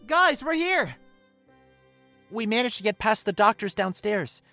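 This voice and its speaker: A woman's voice: medium pitch, big pitch swings, a little slow, fairly bright tone, soft background music. A woman voicing an earnest, overwrought youngster in a fan audio drama, pleading and emotional. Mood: urgent.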